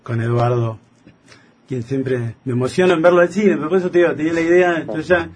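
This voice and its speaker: A man's voice: on the high side, fairly quick, a little mellow, slight background noise. An Argentine man chatting effusively as a guest on a radio show, often repeating himself for emphasis. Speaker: radio drama writer and guest. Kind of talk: casual radio chat.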